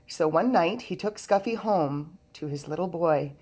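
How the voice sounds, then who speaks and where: A woman's voice: on the low side, unhurried. Woman reading a children's story aloud. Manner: expressive read-aloud storytelling. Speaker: storybook reader. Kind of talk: scripted children's story narration.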